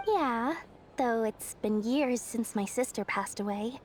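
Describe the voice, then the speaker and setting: A woman's voice: on the high side, takes it slowly, a little hiss or hum. A woman playing a small, money-loving young merchant in a video game, with a chirpy, theatrical delivery. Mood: wistful.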